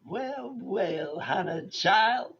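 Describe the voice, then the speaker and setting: A man's voice: sits high, lively intonation, extremely slow, creaky, a bit bright, breathy edge, heavily acted. An actor playing a brash, flirtatious, conceited male bandleader with a Southern accent in a radio comedy. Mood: flirtatious swagger. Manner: jive-talking, cocky. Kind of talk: 1940s radio comedy acting. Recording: dull online radio-play recording.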